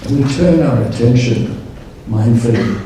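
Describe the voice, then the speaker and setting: A man speaking at a conference, leading a guided meditation in a calm, slow, measured way. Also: mid-range voice, a little hiss or hum. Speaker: meditation leader. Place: large conference hall. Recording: echoey hall, distant mic.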